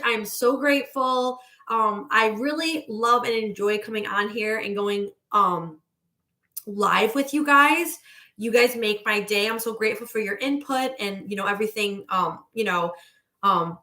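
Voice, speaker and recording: A woman hosting a casual livestream as a manifestation coach, talking warmly to her viewers and checking in with "okay?" as she goes. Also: high-pitched. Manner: effusive, repeating "okay?" and reading out viewer comments.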